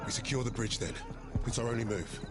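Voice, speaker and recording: An actor playing a determined paratrooper sergeant, earnest and taking charge. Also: male voice, medium pitch, fast talker, music in the background, close to an everyday voice. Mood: decisive. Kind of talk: dramatic game cutscene dialogue.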